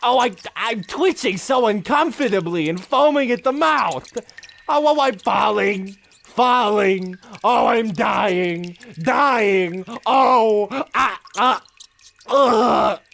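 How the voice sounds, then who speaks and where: A man playing an excitable, overwrought husband in a theatrical comic audio drama. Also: high-pitched, takes it slowly, vocal fry, soft background music, heavily acted. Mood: dying melodrama. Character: excitable, melodramatic husband on a doomed holiday.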